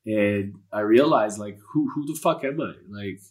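Low-voiced earnest man. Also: normal speed. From Canada. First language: Polish. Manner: earnest and spiritual, rambling with many fillers and curse words. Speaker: guest. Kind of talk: candid personal interview talk.

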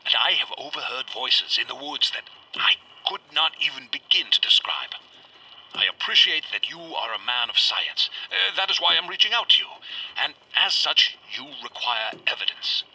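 A man playing an earnest, fearful older rural man from Vermont, dictating a formal, halting letter in a radio drama. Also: mid-range voice, bright, forceful tone, slightly rough, heavily acted. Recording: noisy old dictation cylinder recording.

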